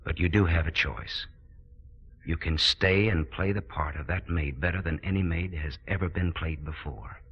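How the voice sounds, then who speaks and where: An American actor playing an earnest, gentle theater director in 1940s radio style, with halting, hesitant phrasing. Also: male voice, low-pitched, breathy edge. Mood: earnest, pleading. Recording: muffled 1940s radio broadcast.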